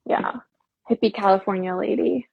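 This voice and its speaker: A woman's voice: mid-range voice, steady tone, normal speed, creaky, breathy edge, no room sound. A woman from the United States hosting a casual chat, talking in a chatty way full of fillers and giggles. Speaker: host. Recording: dull, dry lo-fi audio.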